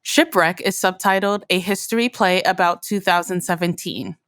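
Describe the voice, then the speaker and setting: A woman host reading scripted narration in a measured, deliberate way. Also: fairly low pitch, a bit bright, clear, resonant tone, no echo, studio quality. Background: music bed.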